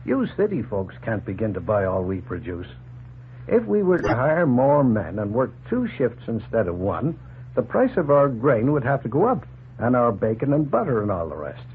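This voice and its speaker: An actor playing a plain-spoken, opinionated middle-aged farmer in a folksy, emphatic 1940s radio drama style. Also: male voice, medium pitch, big pitch swings, moderate pace, close to an everyday voice. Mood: firm, explaining. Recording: noisy, tinny 1940s radio recording.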